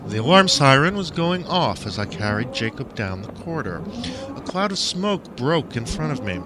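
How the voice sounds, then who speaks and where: A man reading first-person fiction aloud as an audiobook narrator, with an expressive, dramatic delivery. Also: mid-range voice, moderate pace, noisy background.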